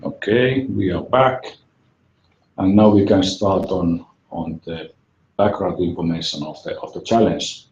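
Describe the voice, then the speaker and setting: A man hosting a webinar, speaking haltingly with repeated words and pauses. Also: sits low, darkish tone, lo-fi sound. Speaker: webinar host, software company representative. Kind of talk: webinar presentation. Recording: echoey room, faint music.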